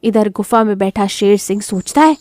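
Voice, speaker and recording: A woman's voice: mid-range voice, normal speed, darkish tone, a little hiss or hum. A woman giving scripted narration of a children's moral story.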